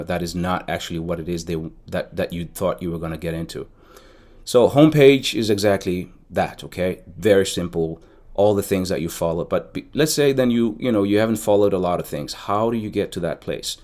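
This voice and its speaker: A man with a low, deep voice and a hard-to-place accent that blends South African with American. He talks through a relaxed on-screen tutorial, meandering, often pausing on ums and starting sentences over. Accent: South African mixed with American. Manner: rambling and relaxed, with frequent ums and restarts. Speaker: sports fan and educator giving a tutorial. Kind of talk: casual screen-share tutorial.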